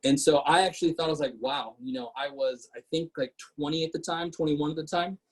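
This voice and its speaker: A man in his thirties whose first language is Portuguese, speaking as a guest on a video call in a relaxed, conversational way with many fillers and restarts. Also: fairly high pitch, a little fast, slightly rough. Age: 30s. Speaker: guest speaker, city council member. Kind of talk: casual webinar talk.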